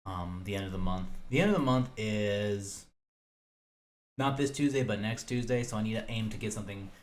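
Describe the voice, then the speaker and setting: A young man with a lowish voice talks alone into his mic for his podcast. He rambles casually, stopping and restarting as he thinks aloud and hunts for words. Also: normal speed. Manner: hesitant, thinking aloud with many fillers and restarts. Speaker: solo podcaster.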